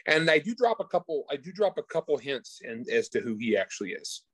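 A man's voice: mid-range voice, sing-song, brisk, a bit bright, slightly rough, no echo, poor audio. A man speaking as a guest author in a casual interview, informal and slangy, often restarting his sentences. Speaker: guest author discussing own book.

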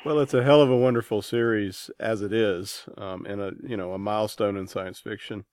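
A man hosts a casual interview in a fairly low voice. The sound is dry, with little room in it. He feels his way into his questions with hesitant, searching phrasing. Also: steady tone, brisk, darkish tone, clean tone, dry recording. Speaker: interviewer, podcast host.

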